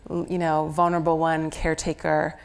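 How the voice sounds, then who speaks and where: Low, creaky-voiced woman explaining. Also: completely flat delivery, fairly quick. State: slight head cold. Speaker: astrologer giving a live chart reading. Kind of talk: live on-stage conversation, explaining. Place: on stage at a live venue.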